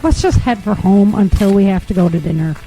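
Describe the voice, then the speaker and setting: A woman playing a defiant but soft-hearted refugee mother in a post-apocalyptic audio drama. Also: mid-range voice, lively intonation, brisk, over music, close to an everyday voice, poor audio. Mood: soft, reassuring.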